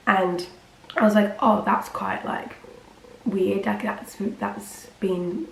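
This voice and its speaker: A teenage girl, slightly croaky from a cold, rambling casually to camera with lots of fillers. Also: medium pitch, steady tone, slow. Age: teens. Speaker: vlogger. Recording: roomy with faint ambient noise.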